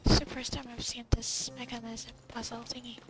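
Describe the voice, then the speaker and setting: A woman's voice: fairly high pitch, little pitch movement, a little fast, very noisy. A woman doing casual gameplay commentary, muttering to herself and to the game as she plays. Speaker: gamer recording her playthrough. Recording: loud game music over the voice. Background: loud video game music and effects.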